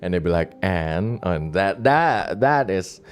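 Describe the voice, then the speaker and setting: A man's voice: low-pitched, lively intonation, takes it slowly, soft background music. A man chatting casually and rambling on a late-night stream, with many restarts and laughs. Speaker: virtual streamer.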